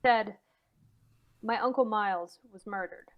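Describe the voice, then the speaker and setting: A woman's voice: medium pitch, measured pace, muffled recording, lightly acted. A woman acting a nervous, hesitant man in an old-time radio mystery, stammering as the character confides. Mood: troubled, confiding. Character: anxious man confiding a suspected family murder.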